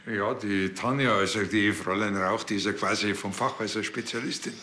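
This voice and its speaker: Low-voiced Bavarian village policeman. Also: steady tone, fairly quick, sharp tone. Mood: defensive. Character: bantering, naive male Bavarian village policeman.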